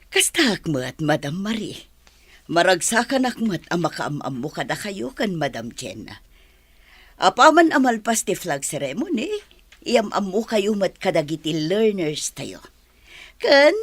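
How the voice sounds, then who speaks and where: A woman playing a welcoming, motherly public school teacher in a radio drama, addressing others clearly with a Filipino accent. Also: medium pitch, sing-song, a little slow, no room sound. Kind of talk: Ilocano radio drama acting.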